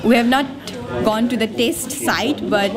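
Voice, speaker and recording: A young woman from Nepal with a Nepali accent, a student answering haltingly in an interview. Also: on the high side, music in the background, crowd noise. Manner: halting, hesitant.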